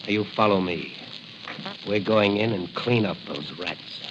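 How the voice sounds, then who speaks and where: An actor playing a righteous crime-fighting hero in a declamatory, commanding 1940s radio style. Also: male voice, fairly low pitch, unhurried, lightly acted. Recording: hissy, dull 1940 radio recording.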